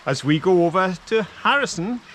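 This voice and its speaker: Lively older Welsh man by a stream. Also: high voice, moderate pace, slight background noise. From Wales. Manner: playful, showman-like presenting to viewers. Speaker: vlog co-host. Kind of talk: chatty outdoor vlog presenting. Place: outdoors by a woodland stream. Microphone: camera held close.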